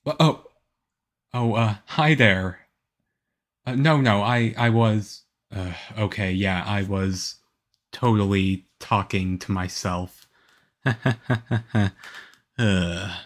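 Man playing a slow-talking, awkward vampire boyfriend. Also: fairly low pitch. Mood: flustered, awkward. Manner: halting, stammering, awkward. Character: shy, nervous teenage-looking vampire boyfriend on a date. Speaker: voice actor in a boyfriend roleplay. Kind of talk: ASMR audio roleplay, one-sided conversation.

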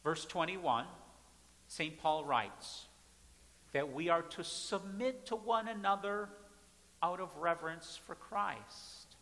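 High-voiced pastor preaching in a reverberant church. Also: male voice, slow. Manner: emphatic preaching, repeating phrases, swings between loud and soft. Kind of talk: sermon. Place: large church sanctuary. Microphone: pulpit mic, far camera.

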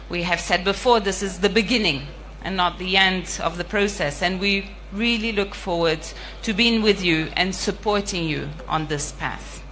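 Bright-voiced woman giving a formal address. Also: fairly low pitch, low-quality recording. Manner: measured, deliberate delivery with pauses between phrases. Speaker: EU diplomat giving an official address. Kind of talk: formal ceremonial speech. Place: outdoor ceremony with a large seated audience. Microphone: handheld microphone held close.

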